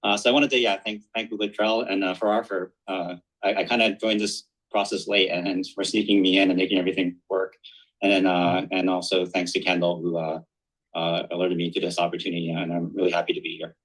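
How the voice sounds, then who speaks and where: A man's voice: low-pitched, flat delivery, a little fast, a bit bright, rough recording. A man from the United States, a physics professor, introducing himself on an online panel with frequent repeated words. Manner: stammering restarts.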